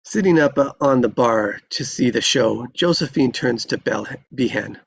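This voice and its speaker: Gravelly man reading stage directions. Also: medium pitch, animated, moderate pace. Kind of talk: scripted narration.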